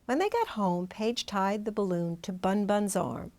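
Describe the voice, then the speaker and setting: A woman reading a children's storybook aloud in expressive, dramatic narration, drawing out some words. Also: medium pitch, measured pace. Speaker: storybook reader.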